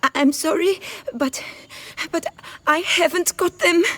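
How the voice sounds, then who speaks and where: A woman's voice: very high voice, measured pace, a bit bright. A woman playing a timid young fairy-tale boy in a video game, hesitant, stammering and pleading. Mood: frightened pleading. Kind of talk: video game character acting.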